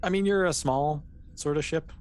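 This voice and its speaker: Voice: mid-range voice, normal speed, a little mellow. A game master casually improvising narration for a tabletop sci-fi game, in an easygoing, hesitant way, with everyday phrasing.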